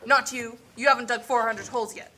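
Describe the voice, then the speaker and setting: Woman as a bossy, bright-voiced callous overseer. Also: on the high side, steady tone, slight background noise. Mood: stern. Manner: brisk, commanding. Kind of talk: amateur political puppet show.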